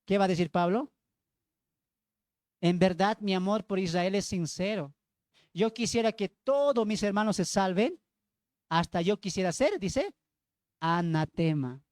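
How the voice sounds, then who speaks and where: Male evangelical preacher, Bolivian accent, high pitch, emphatic teaching style full of questions, dry sound. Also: lively intonation, a little slow, pauses often, darkish tone, no echo. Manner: emphatic, repeats phrases, asks rhetorical questions. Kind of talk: sermon with Bible teaching.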